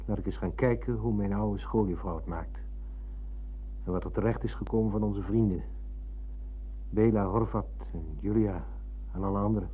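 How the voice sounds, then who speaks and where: Man acting nostalgic grown-up ex pupil, kinda low, earnest, tinny old radio drama with some hum. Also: close to an everyday voice. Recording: thin, humming old radio recording.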